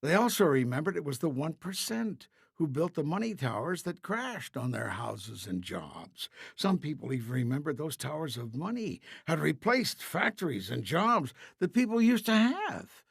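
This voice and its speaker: A man's voice: fairly high pitch, very animated, normal speed, gravelly, hardly any pauses, breathy edge, no echo, clean studio sound. A man narrating a scripted fairy tale in a simple, emphatic storybook style.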